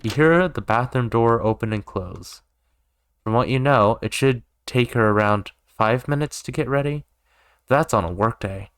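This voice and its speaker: A man's voice: mid-range voice, unhurried, a bit bright, clear, resonant tone, no room sound. A man doing let's play commentary, reading game narration aloud and dropping in joking asides. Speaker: gaming YouTuber. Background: music.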